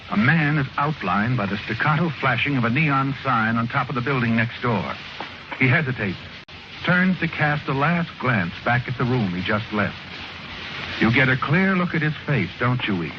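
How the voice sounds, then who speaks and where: An actor narrating a 1940s radio crime drama as an ominous, mocking storyteller who speaks insinuatingly, straight to the character. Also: male voice, medium pitch, muffled recording, close to an everyday voice. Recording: noisy, band-limited old radio recording.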